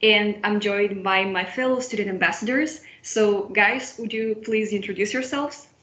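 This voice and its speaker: A woman's voice: medium pitch, normal speed, fairly bright tone. A young woman from Moldova moderating an online student webinar, friendly, often restarting and repeating phrases. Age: young adult. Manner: friendly, with frequent restarts and repetitions. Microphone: video call. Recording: roomy, dull video-call audio.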